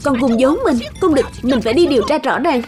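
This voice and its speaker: Woman acting a high-voiced, suspicious cartoon mother. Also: fast talker, over music, close to an everyday voice. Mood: indignant. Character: suspicious, indignant young mother and wife. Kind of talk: dubbed children's cartoon acting.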